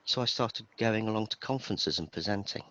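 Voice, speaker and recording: A man's voice: fairly low pitch, brisk, sharp tone, highs cut off. A man from Birmingham, England with a Birmingham accent, chatting casually as an interview guest in a self-deprecating, talkative way. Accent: Birmingham English. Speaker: interview guest, database performance expert.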